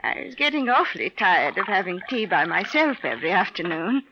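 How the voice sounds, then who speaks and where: A woman's voice: mid-range voice, sing-song, fairly quick, dull audio. An actress playing a genteel, insistent elderly mother with sinister intent in a 1950s radio drama. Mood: sweetly insistent. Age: older. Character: sweetly insistent elderly mother, secretly a sinister Martian agent. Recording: hissy old 1950s radio recording.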